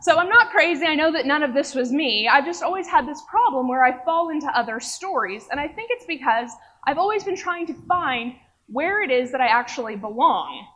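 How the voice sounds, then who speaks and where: A young woman from Oklahoma speaks from a stage in a fairly high voice. She tells her story in a rehearsed way and pokes fun at herself as she goes. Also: lively intonation, brisk, fairly bright tone. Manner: wry, self-mocking humor. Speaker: speaker at a live event. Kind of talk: rehearsed personal talk. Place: stage before an audience.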